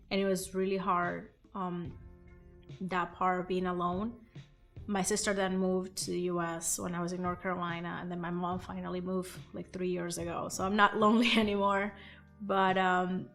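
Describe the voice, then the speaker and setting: A woman in her thirties, raised in Venezuela, speaking English in an earnest, reflective documentary interview. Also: lowish voice. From Portugal and Venezuela. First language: Spanish or Portuguese. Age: 30s. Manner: earnest, reflective, frequent fillers. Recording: faint music behind.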